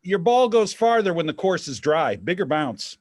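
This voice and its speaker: A man's voice: sits high, sing-song, normal speed, no room sound. An actor in a staged play reading, playing a wry, theatrical dead father obsessed with golf. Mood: jaunty, sardonic.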